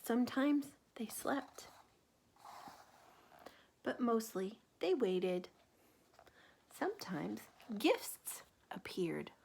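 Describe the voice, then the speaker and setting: Slow, higher-voiced woman reading to children. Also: sing-song, gravelly, a little hiss or hum. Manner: gentle, pausing to ask questions and point things out. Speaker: teacher reading a picture book aloud to children. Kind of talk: children's read-aloud with questions to the listener.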